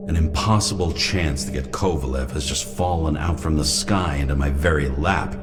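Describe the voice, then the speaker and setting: A man's voice: very deep voice, normal speed, loud music behind the voice. An actor playing a hard-boiled, reckless male detective in a video game, with a world-weary, dry, sardonic delivery. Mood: brooding, sardonic. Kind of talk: noir monologue and dialogue in a video game.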